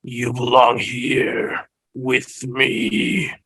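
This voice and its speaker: A man playing a menacing monster villain who taunts his victim slowly, in a fan table read over a video call. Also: mid-range voice, sharp tone, slightly rough, dry recording, full character voice, rough recording. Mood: taunting, menacing. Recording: dry video-call audio, music underneath.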